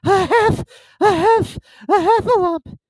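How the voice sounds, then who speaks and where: Small panicky young pig for a children's storybook: very high squeal, thin, stammering, breathless. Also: male voice, expressive, a little slow, darkish tone, no room sound. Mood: panicked.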